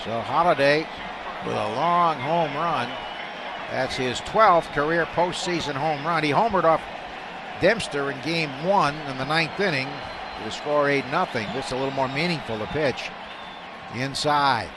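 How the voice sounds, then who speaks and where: A man with a fairly high voice and an American accent calls a baseball game live for radio. He is excitable on big plays and conversational between pitches, with plainly audible stadium noise behind him. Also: unhurried, crowd noise. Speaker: radio baseball play-by-play announcer. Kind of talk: live baseball play-by-play commentary. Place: ballpark broadcast booth.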